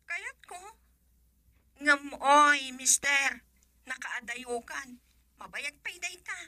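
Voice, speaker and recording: A woman's voice: high voice, takes it slowly, pushed and bright, no echo, exaggerated voice. A woman with a Filipino accent acting in a radio drama as a coy young sweetheart. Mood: coy, surprised.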